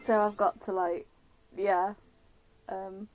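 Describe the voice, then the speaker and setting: A woman's voice: medium pitch, flat delivery, takes it slowly, a little mellow, poor audio. A woman casually reacting during a video game playthrough, wry and hesitant as she thinks aloud. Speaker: game streamer.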